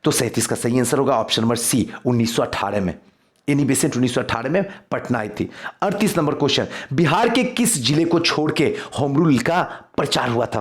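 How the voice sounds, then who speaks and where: A man teaching exam history in a quiz lesson, reading out questions and explaining answers, repeating key points for emphasis. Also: medium pitch.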